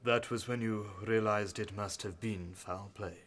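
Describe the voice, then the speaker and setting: An actor in a radio play playing a formal coroner, probing a witness with official questions. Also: male voice, lowish voice, fairly even intonation, unhurried, a bit bright, a little hiss or hum.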